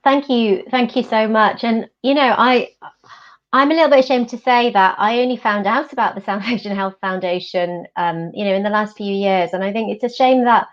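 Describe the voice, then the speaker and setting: A woman's voice: mid-range voice, moderate pace, muffled recording. A woman chairing a panel who talks in a chatty way, hesitating with fillers as she introduces speakers. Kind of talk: panel moderating.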